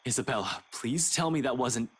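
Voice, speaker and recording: Young male game character: defensive and rattled, forceful edge, sarcastic under panic. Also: mid-range voice, lively intonation, moderate pace, slightly rough. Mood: shaken, panicked. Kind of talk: voiced visual novel dialogue.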